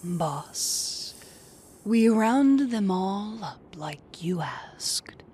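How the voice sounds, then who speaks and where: A performer playing an obedient gang underling in an audio roleplay, speaking in a hushed, breathy, deferential way. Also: medium pitch, lively intonation, extremely slow, heavily acted. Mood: hushed deference. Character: obedient, soft-spoken gang henchman reporting to the boss. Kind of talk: audio roleplay drama acting.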